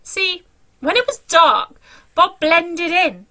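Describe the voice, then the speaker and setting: Lady doing storybook read-aloud for kids, super animated, takes her time. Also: extremely high pitch, takes it slowly. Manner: dramatic, theatrical storytelling. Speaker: teacher reading a children's story aloud.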